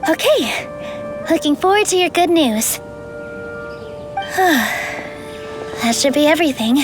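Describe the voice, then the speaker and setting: An actress voicing a gentle, earnest young female theater performer in a video game, speaking softly and sincerely. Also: high-pitched, lively intonation, slow, music in the background. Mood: nervous, resolute.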